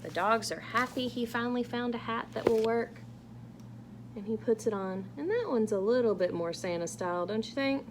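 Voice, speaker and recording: A woman's voice: on the high side, normal speed. A woman reading a picture book aloud to children, with casual asides and questions to her listeners. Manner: engaging, asks the listeners questions. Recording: clear background noise.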